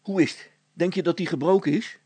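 A man's voice: high-pitched, brisk, warm tone, slightly rough, no room sound, pitched above the performer's own voice. A man voicing a practical, irritable father in a story, plain-spoken and urgently directing others. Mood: directing, brisk. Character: Brilstra, practical, irritable, take-charge father, adult man.

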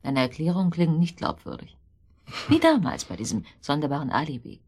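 Low, husky suspicious wife. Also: female voice, huge pitch swings, fairly quick, a little mellow, heavily acted. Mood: suspicious, probing. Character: suspicious wife, hurt and probing, doubting her husband. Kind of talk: German radio drama acting.

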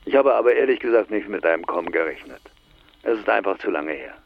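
An enigmatic older man with a low, gravelly voice and lively, teasing intonation. He talks wryly and with measure in a radio drama, over a slightly muffled telephone line. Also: fast talker, slight background noise. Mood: knowing, measured. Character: teasing, enigmatic older man, long-lost friend calling. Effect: telephone filter. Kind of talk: radio drama phone dialogue. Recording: slightly dull, band-limited phone line.

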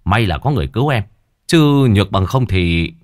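A man's voice: fairly low pitch, expressive, no room sound, clean studio sound, lightly acted. Man doing a hot-headed young brother. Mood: angry. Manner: forceful, gruff, fast.

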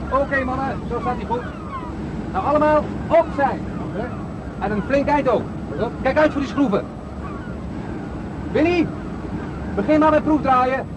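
A man's voice: very high voice, measured pace, pauses often. An actor playing Wilbur Wright, an earnest inventor proudly explaining his flying machine in a 1970s radio play. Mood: commanding. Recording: radio play with loud outdoor background noise.

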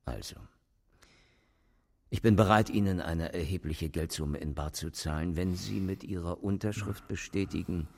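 A senior police official with a very deep, husky voice full of creak. He speaks formally and deliberately, coldly coercive, in a radio drama manner. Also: darkish tone. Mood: businesslike, persuasive. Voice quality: gravelly, husky. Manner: measured, formal, veiled threats.

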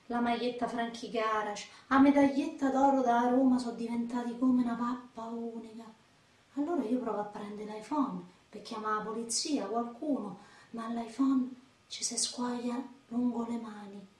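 A woman's voice: fairly high pitch, fairly even intonation, normal speed, a little mellow, recorded from a distance, lightly acted. A woman playing a defiant, foul-mouthed young woman from Rome's outskirts in a radio drama, with a Roman accent and a brash, slangy delivery. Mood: dreamy, aggrieved. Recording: roomy echo, voice off the mic.

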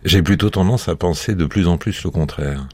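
A man hosts a radio interview in an extremely low, rumbling voice. He talks in a playful, wandering way and likes to challenge his guest. The sound is close and dry, with no room around it. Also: normal speed, no echo. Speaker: radio host.

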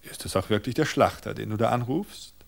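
A man voicing a controlling, suspicious husband who speaks with quiet amusement. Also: lowish voice, brisk, creaky. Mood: sly. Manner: amused, insistent. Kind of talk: audiobook reading of a thriller.

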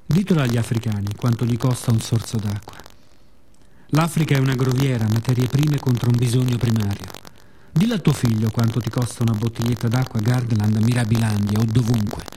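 Male radio-theatre monologist, medium pitch, expressive scripted delivery, some background noise. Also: fairly quick, poor audio. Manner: expressive, with playful spoken sound effects.

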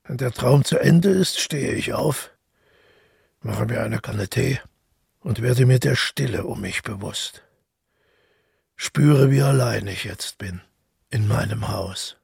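Very husky, gravelly, solitary man. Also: medium pitch, takes it slowly, pauses often, a little mellow, no room sound, clean studio sound, full character voice. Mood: wistful, subdued. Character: solitary, weary male murderer, calmly unrepentant, recalling his deed. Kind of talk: literary radio drama monologue.

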